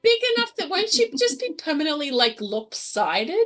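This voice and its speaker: A woman's voice: high voice, very animated, moderate pace, fairly bright tone. A woman chatting and bantering casually as a player in a tabletop game. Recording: faint music behind. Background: faint music.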